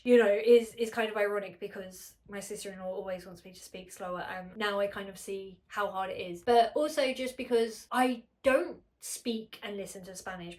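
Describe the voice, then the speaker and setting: A woman with a slightly nasal, congested voice, chatting casually to camera at home, rambling, filling her talk with "like" and correcting herself. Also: mid-range voice. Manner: rambling, with many filler "like"s and self-corrections. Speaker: vlogger. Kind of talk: casual unscripted chat to camera.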